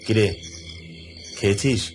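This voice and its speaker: An actor playing a harried, placating, gossipy husband in an audio horror drama, speaking colloquially and hesitantly with sighs and fillers. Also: male voice, unhurried, lightly acted. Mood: placating, kindly. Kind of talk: Bengali audio horror story acting. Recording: background music under the voice.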